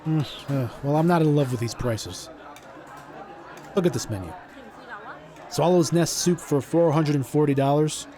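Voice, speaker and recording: A man's voice: a little high, a little slow, long pauses, mellow, some chatter behind, close to an everyday voice. A man playing a level-headed, wry lookout in an audio drama, dry and understated.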